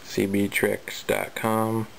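A man casually talking through a hands-on radio demo in a plain, low-key way, recorded indoors on a handheld camera. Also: monotone, takes it slowly. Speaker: radio hobbyist presenting a demo. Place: indoors at a home radio setup. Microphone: handheld camera filming the equipment. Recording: clear background noise, radio static.

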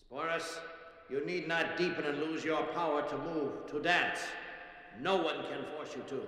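A man's voice: fairly high pitch, measured pace, sharp tone. A man playing a sinister demon posing as a school principal, insinuating and commanding, in an audio drama. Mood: coaxing, sinister. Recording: heavy echoing hall reverb.

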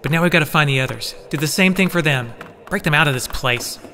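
A man's voice: on the high side, quick pace, bright, forceful tone, slight background noise. An actor playing a loyal, wry, reassuring male rescuer in an audio drama. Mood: wry, resolute.

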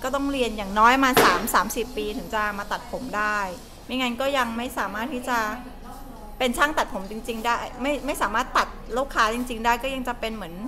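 A woman hosting a casual live stream from a hair salon, chatting in a lively way and mixing in simple Japanese. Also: a little high.

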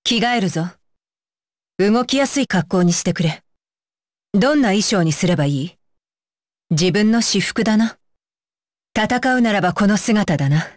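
A woman's voice: medium pitch, animated, normal speed, long pauses, no echo, studio quality, natural voice. A woman voicing a stern, dutiful young female ninja bodyguard for an anime game, with clipped, commanding and blunt phrasing. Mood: firm, composed. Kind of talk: Japanese anime game voice lines.

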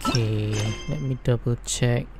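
A man's voice: medium pitch, fairly even intonation, takes it slowly, gravelly, over loud music. A man giving casual game playthrough commentary, muttering as he thinks aloud. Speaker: gaming video host.

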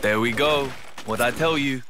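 An actor playing a laid-back, cheerful young British fighter in a video game cutscene, speaking in a breezy, colloquial, reassuring way. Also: male voice, mid-range voice, normal speed, background noise, close to an everyday voice.

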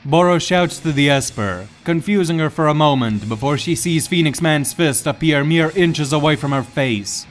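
A man's voice: on the high side, normal speed, few pauses, soft background music. A man reading scripted, dramatic story narration as the narrator.

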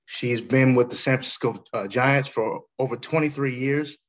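A man's voice: medium pitch, moderate pace. A middle-aged American man with a polite, deferential manner, interviewing a guest about sports over a video call. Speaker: interviewer, host.

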